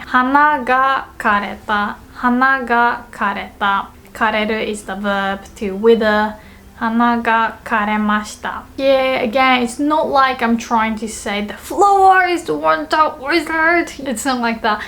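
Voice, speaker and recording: Woman teaching language, slightly high voice. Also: a bit bright. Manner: explains patiently, repeats example sentences twice. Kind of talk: video lesson. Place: small indoor room with bookshelves.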